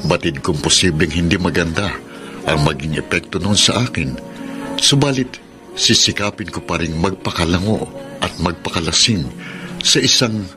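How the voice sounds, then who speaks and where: A man plays a lovestruck young Filipino suitor in a radio romance, his voice low and earnest. He speaks in florid, pleading, sentimental phrases, full of hope, over background music. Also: normal speed, lightly acted. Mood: yearning, dramatic. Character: Francis, lovestruck hopeful earnest young suitor, also narrating. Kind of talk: radio drama acting with letter narration. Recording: radio drama with music underneath.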